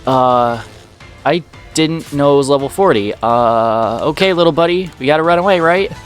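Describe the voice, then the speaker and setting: A man's voice: fairly high pitch, normal speed, soft background music. A man hosting a let's play, chatting and reacting aloud to a game while slightly sick, his voice a bit off. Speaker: gaming channel host.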